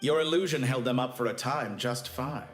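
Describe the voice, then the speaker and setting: A man's voice: mid-range voice, normal speed, faint music behind, lightly acted. A man voicing a cool, dry, unimpressed schemer in a video game, with a reverberant sound. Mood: coolly critical. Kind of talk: scripted video game voice acting. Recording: echoey, reverberant voice.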